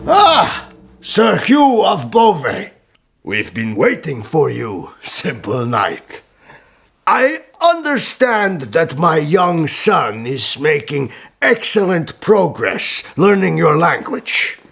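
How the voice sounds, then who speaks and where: An actor playing a shrewd, ceremonious medieval sultan in a radio drama, speaking in a raspy, gravelly voice with a courtly, deliberate manner. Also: male voice, fairly high pitch, wildly swooping pitch, a bit bright, exaggerated voice. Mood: grand, sly. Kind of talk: radio historical drama acting.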